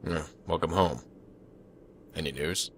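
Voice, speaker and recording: Controlling anime-drama dad, very deep and gravelly, falsely kind male actor. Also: unhurried, slight background noise, full character voice. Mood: calm, manipulative. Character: controlling, falsely kindly father, middle-aged schemer. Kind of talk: anime fan audio drama.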